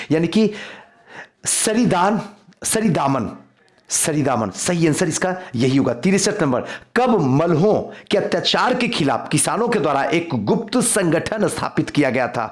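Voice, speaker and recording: A man teaching an exam quiz lesson, reading out questions and repeating key answers for emphasis. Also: on the high side. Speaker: history teacher for civil service exam coaching.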